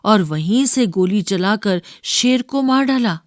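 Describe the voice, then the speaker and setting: Woman reading a kids textbook story, really slow pace, dry recording. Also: medium pitch, lively intonation, no room sound. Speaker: narrator. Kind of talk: scripted storybook narration for schoolchildren.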